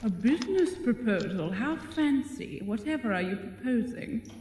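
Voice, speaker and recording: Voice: medium pitch, expressive, moderate pace, darkish tone, lightly acted. Actor voicing giant spider monster, sly, mock-courteous, theatrical, cavernous echo, noisy ambience. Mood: amused, mocking. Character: giant talking spider monster, genteel, mockingly polite, sly. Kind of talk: audio drama acting.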